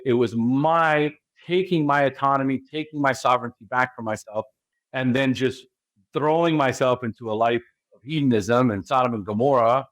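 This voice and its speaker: A man's voice: medium pitch, fairly bright tone, no room sound. A man from California with an American accent, a spiritual guide being interviewed, who talks emphatically and keeps asking rhetorical questions. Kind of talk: interview talk.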